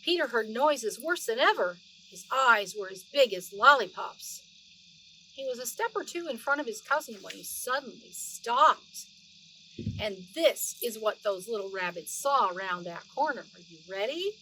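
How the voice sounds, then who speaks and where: High-voiced woman reading a storybook to children. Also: a little hiss or hum, rough recording. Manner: expressive storytelling, drawn-out words and gentle questions to the listener. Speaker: host of a children's read-aloud show. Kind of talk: storybook read-aloud with chatty asides to young listeners.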